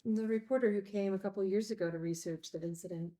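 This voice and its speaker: An actress playing a gentle, thoughtful, earnest woman in a screenplay table read. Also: medium pitch, steady tone, fast talker, lightly acted.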